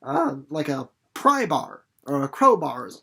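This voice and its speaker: A man reading a story aloud expressively and explaining it casually in an animated, folksy, playful teacher's manner. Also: fairly high pitch, unhurried, vocal fry, slightly rough.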